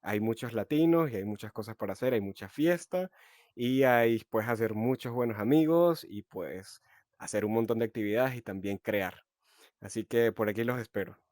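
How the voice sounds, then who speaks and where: A man's voice: lowish voice, fairly quick, darkish tone, no echo, studio quality. A man with a Venezuelan accent hosting a podcast, talking in an easygoing way with many laughs and fillers. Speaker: podcast host. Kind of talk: casual chat.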